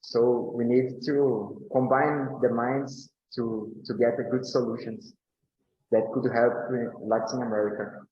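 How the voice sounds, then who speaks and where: A man from Brazil, speaking on a panel in slow, halting English with many fillers and restarts. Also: mid-range voice, level tone. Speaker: agroecology speaker. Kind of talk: panel talk answering questions. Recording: roomy, dull recording.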